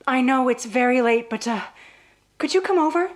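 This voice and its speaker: A woman's voice: sits high, expressive, normal speed. A woman playing an anxious, frightened wife in a radio play, with a faltering, pleading delivery.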